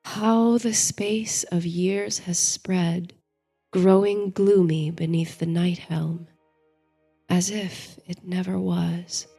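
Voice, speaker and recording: Female sleep-story narrator: soothing and dreamy, very unhurried, lowish mellow voice, faint music underneath. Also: steady tone, gravelly, breathy edge. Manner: soothing, measured, dreamy delivery. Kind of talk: bedtime story narration, guided meditation. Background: soft music.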